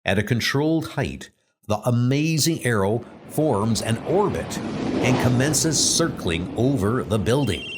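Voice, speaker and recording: A man narrating a comic book story in a deliberate, theatrical scripted style. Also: medium pitch, expressive, heavy background noise. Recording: loud music under the voice.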